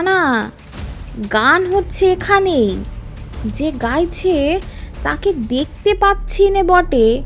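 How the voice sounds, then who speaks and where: A woman acts out a frightened male friend in a ghost audiobook, high in pitch and slow to get the words out. He sounds doubtful and full of dread, with music beneath. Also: exaggerated voice. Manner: doubtful, hushed dread and astonishment. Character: uneasy, spooked male friend exploring a haunted house.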